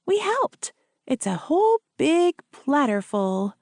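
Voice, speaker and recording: A woman's voice: high voice, big pitch swings, takes it slowly, no echo, studio quality, pitched above the performer's own voice, exaggerated voice. A woman voicing a small girl who is eager and pleading. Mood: earnest.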